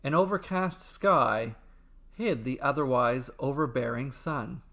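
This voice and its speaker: A man narrating a scripted myth as a storyteller, with measured, theatrical and deliberate phrasing. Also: mid-range voice, creaky, fairly bright tone.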